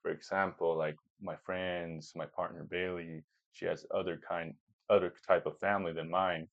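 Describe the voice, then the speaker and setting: A man's voice: sits low, flat delivery, normal speed, creaky, no echo, dull audio. A man in his twenties, born in Peru, whose first language is Chinese, answering interview questions casually with many restarts and fillers. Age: 20s. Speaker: podcast guest.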